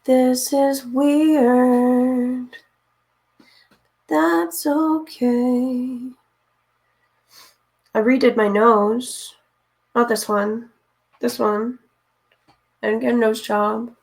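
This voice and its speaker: Woman streamer, raspy and a bit hoarse, fairly high voice, unhurried, chatty. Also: steady tone, darkish tone. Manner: chatty, talks to viewers while working, often unsure what to do next. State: hoarse from reading aloud. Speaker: streamer painting live. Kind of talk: casual chat while painting.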